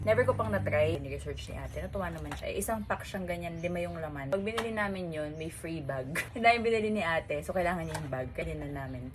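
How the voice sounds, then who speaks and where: Lowish-voiced Filipino woman, noisy recording. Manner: chatty, informal. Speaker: vlogger. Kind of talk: casual chatty shopping haul with code-switching.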